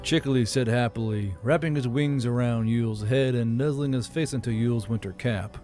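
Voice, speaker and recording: Medium-pitched male audiobook narrator over music. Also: moderate pace, mellow. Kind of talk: scripted audiobook fantasy narration.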